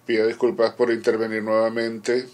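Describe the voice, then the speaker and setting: A man working as a conference interpreter, giving a formal simultaneous interpretation in short, measured phrases with halting pauses. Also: lowish voice, completely flat delivery, a little mellow.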